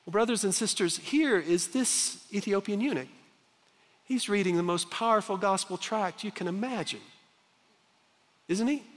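A man in his fifties preaches from a large church stage on a headset mic, his voice sitting a little high for a man. He is earnest and emphatic, slowing down for dramatic pauses, and a long hall reverb trails behind each phrase. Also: a bit bright. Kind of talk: sermon.

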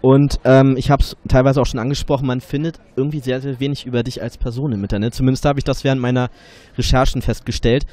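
A man's voice: mid-range voice, flat delivery, fast talker, dark tone. A man in his twenties with a slight cold, hosting a casual interview with a handheld mic outside a café. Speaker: interviewer and host. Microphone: handheld reporter microphone.

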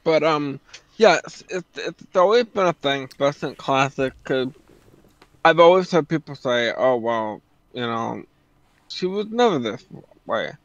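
A man's voice: mid-range voice, animated, unhurried, no echo, muffled recording. A man chatting casually on a livestream in a blunt, emphatic, opinionated way. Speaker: streamer and co-commentator. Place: indoor room at a streaming setup.

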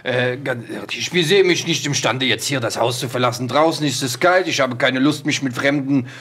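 A man playing a prissy, indignant doctor in an improvised audio drama, fussy and halting. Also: mid-range voice, a bit bright, husky. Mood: petulant. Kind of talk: improvised tabletop role-play audio drama.

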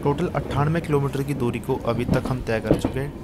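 A man's voice: mid-range voice, brisk. A man narrating a casual travel vlog from a packed train and station, chatty and speaking straight to his viewers. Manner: chatty, addresses viewers as brothers. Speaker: travel vlogger. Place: crowded train and station platforms. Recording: noisy crowded train recording. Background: loud crowd and train noise.

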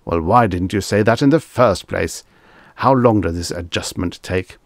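A man's voice: low voice, moderate pace, no room sound, studio quality. A man voicing a rich, impatient bully in an audiobook story, with a curt, growling manner. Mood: grudging.

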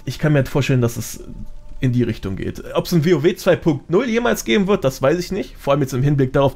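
A man's voice: a little high, darkish tone. A man giving his opinions as a guest in a casual podcast chat. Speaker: podcast guest, gaming content creator.

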